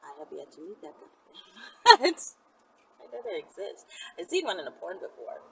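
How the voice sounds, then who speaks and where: A woman's voice: lowish voice, sing-song, moderate pace, vocal fry, slightly rough, poor audio. A woman talking casually and candidly to her viewers as a vlog host, with blunt, slangy, profane phrasing. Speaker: channel host answering viewers' questions.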